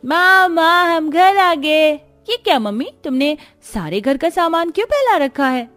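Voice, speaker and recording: A cheeky little boy voiced by a woman, very high and eager. It is a childlike cartoon voice with faint music underneath. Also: animated, a little slow, a bit bright, exaggerated voice. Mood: excited. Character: excitable, cheeky young schoolboy.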